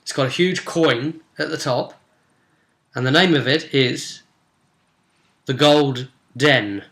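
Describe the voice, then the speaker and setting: Bright-voiced man in an echoey room. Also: mid-range voice, slow. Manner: chatty, storytelling, acting out brief bits of dialogue. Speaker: game master and vidcast host. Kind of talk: informal recap of a tabletop role-playing session. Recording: roomy, echoing sound.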